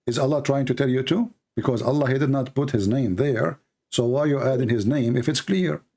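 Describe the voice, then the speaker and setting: A man's voice: medium pitch, a little fast, a little mellow. A man whose first language is Arabic, hosting a live debate and pressing a caller with repeated questions. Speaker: host.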